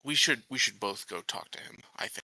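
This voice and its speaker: A man playing a tabletop roleplaying game, improvising in character in a measured, halting way. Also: mid-range voice, animated, sharp tone, dry recording.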